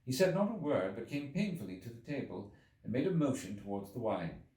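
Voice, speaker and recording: Male audiobook narrator: theatrical, measured literary reading, very varied intonation, recorded in an echoey room. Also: medium pitch, sing-song, dark tone. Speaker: audiobook reader. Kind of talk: expressive scripted literary narration. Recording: echoey room, distant from the mic.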